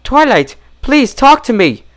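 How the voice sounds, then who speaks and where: A man's voice: very animated, a little slow, heavily acted. A man voicing a refined, dramatic lady pony in a storybook reading, with a genteel, theatrical manner. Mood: worried pleading. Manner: genteel, theatrical, high-pitched.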